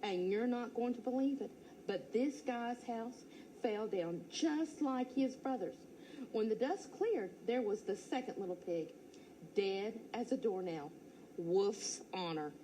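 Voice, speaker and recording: A teacher reading a children's storybook aloud in first person, playful and expressive. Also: female voice, a little high, a little slow, a little hiss or hum, low-quality recording.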